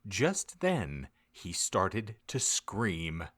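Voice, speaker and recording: A man's voice: sits low, huge pitch swings, drawn-out words, fairly bright tone. A man reading fan fiction aloud as comic audiobook narration, theatrical and mock-serious. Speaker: podcast host reading an audiobook.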